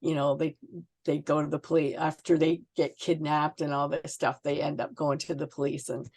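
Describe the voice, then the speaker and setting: A woman's voice: deep voice, level tone, normal speed, a little mellow. A Canadian woman in her sixties from Ontario, chatting and telling anecdotes as a guest author in a casual interview. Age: 60s.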